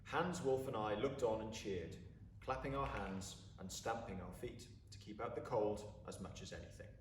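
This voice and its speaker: A man reading a story aloud with expressive, deliberate, dramatic phrasing. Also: fairly low pitch. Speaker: story reader. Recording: roomy, distant mic, faint background noise.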